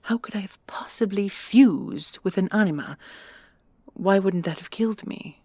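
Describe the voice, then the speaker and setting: A woman playing a proud, anxious female commandant in a sci-fi audio roleplay, formal and deferential, with a husky, breathy voice and halting delivery. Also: mid-range voice, warm tone, dry recording, clean studio sound. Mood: apologetic, earnest. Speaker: voice actress and creator.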